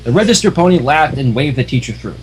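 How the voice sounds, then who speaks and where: A man's voice: mid-range voice, sing-song, fast talker. A man informally reading a fan story aloud on a livestream, adding his own offhand comments. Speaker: livestream fanfiction reader. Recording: noisy home livestream microphone.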